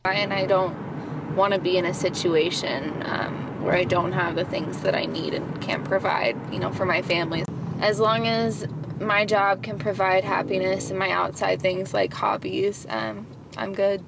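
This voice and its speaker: A woman's voice: medium pitch, fairly even intonation, measured pace, vocal fry. A young woman talking casually as a student in an interview, with a few ums. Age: young adult. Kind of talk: interview answers. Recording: loud outdoor street noise.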